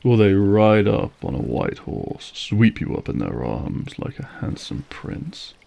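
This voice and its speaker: A man's voice: lowish voice, big pitch swings, measured pace, gravelly, dark tone, exaggerated voice. A male actor playing a suave, menacing, manipulative vampire lord in an audio roleplay, silky, mocking and formal as he savors each phrase. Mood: menacing, playful. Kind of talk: scripted audio roleplay acting.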